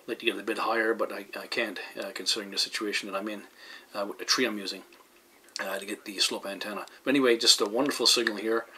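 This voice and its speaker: A man's voice: lowish voice, fairly even intonation, fast, bright, forceful tone. A man from Canada giving a casual, rambling demonstration in a hesitant manner, as a hobbyist vlogger. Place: indoors at a radio receiver. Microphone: handheld camera microphone. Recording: faint noise behind handheld camera.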